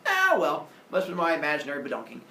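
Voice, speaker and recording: A naive, impulsive young storybook hero, acted out by a male storyteller. He exclaims in a high, forceful voice, overexcited and oblivious, in a roomy, slightly distant recording. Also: wildly swooping pitch, fast talker, vocal fry, slight background noise, heavily acted. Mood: cheerful, oblivious.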